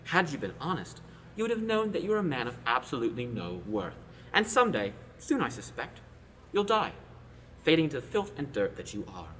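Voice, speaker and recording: An arrogant young prince in a student radio play, haughty and cutting, played by a teenage boy. His pitch swings widely as he sneers, over a faint hiss. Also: wildly swooping pitch, fairly quick, a bit bright.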